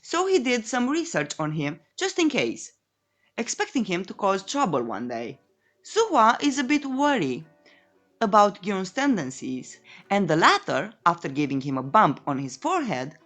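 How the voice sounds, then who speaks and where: Female recap narrator, cheeky storyteller, mid-range pitch with lively swings, faint music underneath. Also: unhurried. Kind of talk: scripted comic recap narration.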